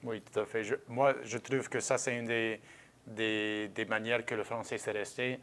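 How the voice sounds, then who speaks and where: A man's voice: lowish voice, little pitch movement, normal speed, a bit bright. A man hosting a casual interview, encouraging his guest with frequent short affirmations. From Louisiana. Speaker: interview host.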